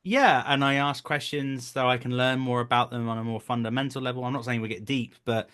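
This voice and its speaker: A man's voice: medium pitch, steady tone, fairly quick, a bit bright, clean tone. A man in his thirties speaking as a voice acting coach in a conversational interview, explaining things earnestly. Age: 30s. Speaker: voice actor, director and coach.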